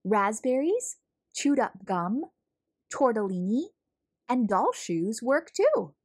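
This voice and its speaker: A woman reading a children's picture book aloud as a storytelling host, in an expressive manner for young listeners. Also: a little high, slow. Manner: animated, clearly paced storytelling for young children.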